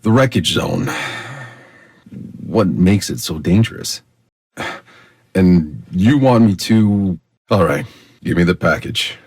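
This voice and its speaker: A man playing a gruff, weary, sarcastic soldier as a video game protagonist, terse and hard-bitten with a deep, gravelly voice. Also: animated, slow pace, long pauses, darkish tone, full character voice. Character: gruff, weary, sarcastic male Outrider soldier on a hostile planet. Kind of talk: dramatic video game voice acting.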